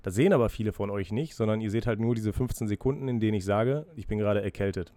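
Mann, erkältet, tiefe Stimme, trockener Raum, Podcast-Monolog. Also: male voice, lowish voice, fast, warm tone, dry recording. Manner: freely spoken, musing, self-reflective with hesitations. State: has a cold, breathing heavily. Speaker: podcast host. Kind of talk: casual solo podcast monologue.

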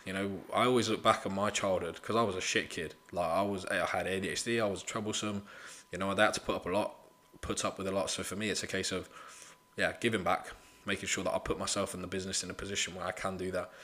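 A man's voice: sits low, fairly even intonation, quick pace, pushed and bright. A man, hosting a casual solo podcast, blunt and motivational, swearing freely and leaning on "you know". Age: 20s. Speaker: podcast host.

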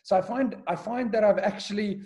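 A man's voice: sits high, fairly quick, warm tone. A South African man in his forties, a school headmaster, talking through his past in a casual podcast interview, often restarting his sentences. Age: 40s. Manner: hesitant, many restarts and repeated words. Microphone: call recording.

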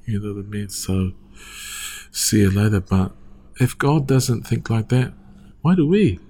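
Forties guy, kinda low voice, chatty and loose, keeps saying you know what i mean. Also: lively intonation, measured pace, mellow, breathy edge. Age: 40s. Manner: rambling, laid-back, often asks "you know what I mean?". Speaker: guest sharing his church story. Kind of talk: casual conversational testimony.

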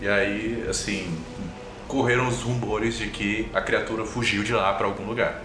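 A man's voice: fairly low pitch, level tone, normal speed. A man narrating a tabletop role-playing game as the game master. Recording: roomy echo, music underneath.

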